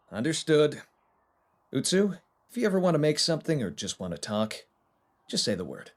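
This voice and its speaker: A man's voice: on the high side, sing-song, moderate pace. A man playing an easygoing, friendly ex-military team member in an audio drama, with an informal way of talking. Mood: friendly, relaxed. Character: easygoing male ex-military brawn who makes pottery.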